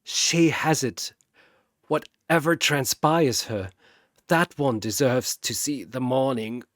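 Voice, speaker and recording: A man's voice: medium pitch, takes it slowly, fairly bright tone, dry recording, studio quality. A man voicing an eloquent, dying Victorian detective in a battle story, with formal, wordy, analytical speech. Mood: solemn admiration.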